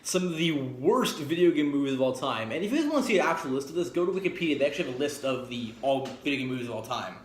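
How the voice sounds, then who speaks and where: A man's voice: medium pitch, lively intonation, rapid-fire, hardly any pauses. A man hosting an informal video review to camera, speaking in a blunt, opinionated, rambling way. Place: home garage, indoors. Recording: echoey room with faint background noise.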